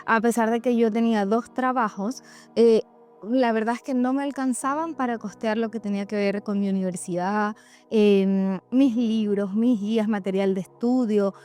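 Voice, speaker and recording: Higher-voiced woman over music. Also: fairly even intonation, moderate pace, darkish tone, smooth. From Venezuela. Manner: candid, reflective, addressing listeners directly. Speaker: host of a personal podcast or vlog. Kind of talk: personal reflective monologue to followers. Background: background music.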